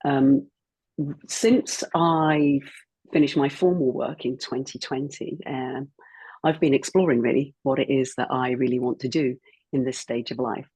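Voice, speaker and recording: A woman's voice: rumbling low, unhurried, warm tone, slightly rough, no room sound, poor audio. A woman in her sixties, a former financial planner with a cold, speaking reflectively as a guest on an online panel. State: croaky from a cold.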